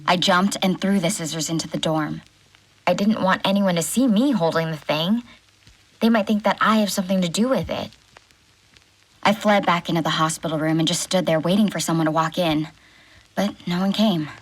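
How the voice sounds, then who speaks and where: An actress playing a shaken, wary woman doctor confiding a first-person audio drama diary in a measured way. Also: lowish voice, natural voice, low-quality recording. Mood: anxious. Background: prominent music under the voice.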